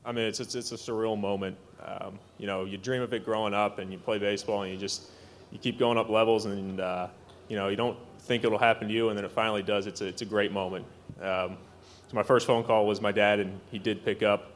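A young man on a panel talking in a relaxed, thoughtful way about playing baseball. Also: lowish voice, a little fast, a little hiss or hum.

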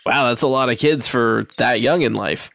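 A man's voice: mid-range voice, moderate pace, vocal fry, a bit bright, voice pushed down. A man voicing an easygoing teenage boy who talks hesitantly and casually.

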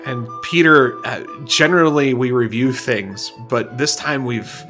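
A man co-hosting a casual podcast, talking haltingly with many restarts and fillers. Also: mid-range voice, sharp tone, faint music behind. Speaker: podcast co-host.

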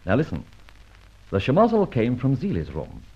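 Dull old radio recording of a breezy gentleman adventurer. His pitch leaps about with great animation as he teases and banters in flippant, theatrical style. The voice has a husky grain and plenty of mischief. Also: wildly swooping pitch, moderate pace, full character voice. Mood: brisk, bantering. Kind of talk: mid-century radio drama acting.

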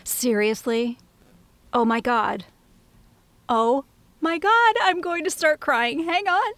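A woman playing an eccentric, craving diner regular, dramatic and intense in a drawn-out delivery. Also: high-pitched, expressive, a bit bright, no echo, exaggerated voice. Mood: desperate.

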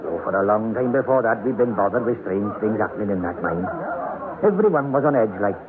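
A man's voice: fairly low pitch, animated, a little fast, a crowd in the background, natural voice. An actor playing an old Welsh miner, a haunted survivor telling his tale in a brooding way in a radio horror drama. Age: older. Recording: noisy, tinny old radio recording.

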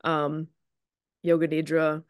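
A woman's voice: low voice, completely flat delivery, takes it slowly, clean tone, dry recording, studio quality. Reassuring woman explaining on a podcast. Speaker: podcast co-host, Chinese medicine practitioner. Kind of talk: conversational explanation.

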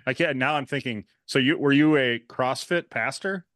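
A man's voice: medium pitch, fairly bright tone, no room sound, clean studio sound. A man from Wisconsin co-hosting a podcast, joking and laughing easily in casual talk. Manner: affable, joking, quick to laugh. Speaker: podcast co-host. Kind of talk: casual conversation.

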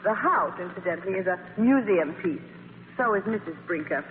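Woman acting a brisk sardonic lady editor, lively radio. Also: on the low side, close to an everyday voice. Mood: brisk, businesslike. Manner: crisp, dry, commanding. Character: brisk, ambitious, guarded, sardonic female magazine editor. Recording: hissy, tinny old radio broadcast, hall reverb.

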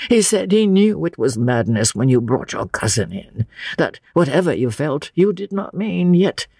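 Narrator acting a quavering, slow-spoken old gentleman. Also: medium pitch, sing-song, vocal fry, breathy edge, no echo, clean studio sound, exaggerated voice. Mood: earnest, tender. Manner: slow, halting, sighing.

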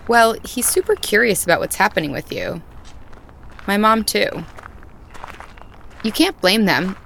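An actress playing a calm, self-assured young woman raised on a family farm. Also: medium pitch, measured pace, creaky, slight background noise, full character voice. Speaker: farmer's daughter. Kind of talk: audio drama acting. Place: outdoors on a farm at night.

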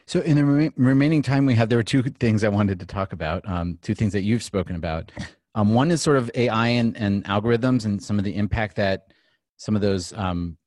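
A man's voice: lowish voice, a little fast, a little mellow, no echo, studio quality. A man hosting an interview who asks long, meandering questions full of restarts. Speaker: interview host.

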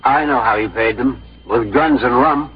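An actor playing an earnest, devoted young frontier farmer in theatrical 1950s radio drama style. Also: male voice, medium pitch, moderate pace, a crowd in the background, natural voice. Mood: indignant. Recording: muffled old radio broadcast with music.

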